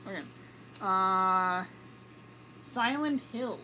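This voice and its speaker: A woman's voice: medium pitch, very slow, pushed and bright. A woman co-hosting a radio show, chatting casually through movie reviews in a hesitant, hedging way. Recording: noisy amateur recording.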